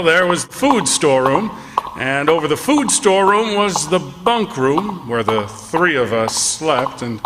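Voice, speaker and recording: A man acting a plain-spoken lighthouse keeper who tells his tale in a halting, reflective way, as radio theatre narration. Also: a little high, noisy background. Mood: rhythmic, reminiscing.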